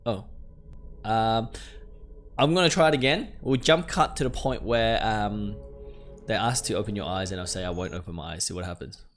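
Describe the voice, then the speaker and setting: Low-voiced man playing and narrating a game. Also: normal speed, faint music behind. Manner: jumpy, exclaims nervously between read-out passages. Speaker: video game streamer. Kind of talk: let's-play commentary, reading game text aloud.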